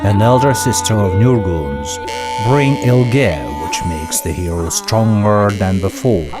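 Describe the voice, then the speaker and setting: A man narrating a folk epic as scripted storytelling, in a measured, solemn manner. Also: fairly low pitch, expressive. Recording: voice-over with loud music behind. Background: loud music.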